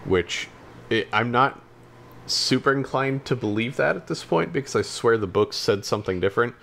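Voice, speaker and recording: Low-voiced man in casual chat. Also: gravelly, a bit bright. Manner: hesitant, hedging, backtracking. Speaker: podcast co-host. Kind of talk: casual chat about games and films.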